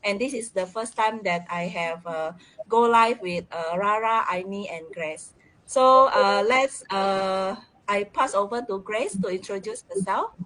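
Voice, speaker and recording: A woman from Indonesia introduces herself warmly on a live video call. Her speech is loose and friendly, with frequent fillers. Her pitch sits in a middle range. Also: unhurried, rough recording. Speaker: quiz participant. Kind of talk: casual self-introduction.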